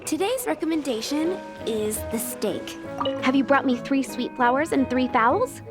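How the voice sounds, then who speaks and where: A woman's voice: high-pitched, lively intonation, unhurried, music in the background. A woman playing a harried, friendly young restaurant cook in a video game, speaking earnestly. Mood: friendly, businesslike. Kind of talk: video game character dialogue.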